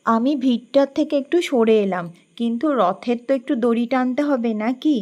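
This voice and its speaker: A woman's voice: fairly high pitch, moderate pace, darkish tone. A young woman hosting a chatty vlog, cheerfully asking her viewers questions. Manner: cheerful, addresses viewers as friends with many questions. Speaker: vlog host.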